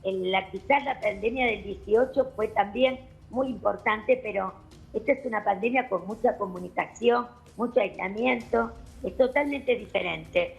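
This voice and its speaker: A woman doctor with an Argentine accent, explaining calmly and methodically on a television panel. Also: mid-range voice, gravelly, dull audio. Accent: Argentine Spanish. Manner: measured, explanatory, addresses host formally.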